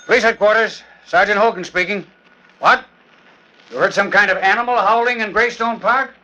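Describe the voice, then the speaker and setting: A man's voice: high-pitched, normal speed, pushed and bright, lo-fi sound. A man playing a brash, chatty police desk sergeant in 1940s radio style, with slangy, exclamatory talk. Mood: busy, griping. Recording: noisy old 1940s radio recording.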